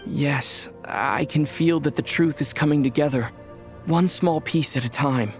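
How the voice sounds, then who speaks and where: A calm, thoughtful young traveler. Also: male voice, fairly high pitch, faint music behind. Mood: reflective. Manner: quiet, measured and reflective. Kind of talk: video game cutscene dialogue.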